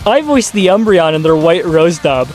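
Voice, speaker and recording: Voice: mid-range voice, lively intonation, normal speed, a little mellow, faint music behind. A commentator giving casual, scripted video commentary with dry, sardonic asides. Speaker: host of a video commentary channel.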